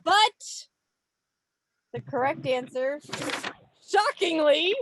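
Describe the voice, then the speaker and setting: Playful, high-voiced woman hosting a quiz. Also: slow pace, background noise. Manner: playful, expressive, lingers on names and answers. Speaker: quiz host at a club meeting. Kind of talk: animated quiz reading with casual asides. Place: online video meeting. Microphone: video call microphone.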